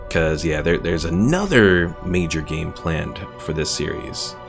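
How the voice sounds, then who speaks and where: A man's voice: very deep voice, lively intonation, unhurried, gravelly, over music. A man streaming a strategy game, chatting casually, rambling about the lore and reading viewers' messages aloud. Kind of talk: casual gameplay commentary.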